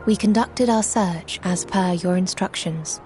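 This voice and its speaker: An actress playing a mournful, otherworldly being who speaks for many in a video game, in solemn, declamatory, archaic language. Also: female voice, fairly low pitch, unhurried, warm tone, soft background music, lightly acted. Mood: grave reporting. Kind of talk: video game cutscene monologue, formal archaic narration.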